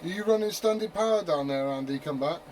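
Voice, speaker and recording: A man's voice: fairly high pitch, lively intonation, fast talker. A man chatting casually and swearing freely over CB radio in slangy talk. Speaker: amateur CB radio operator. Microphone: CB radio set. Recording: noisy CB radio transmission, heavy static.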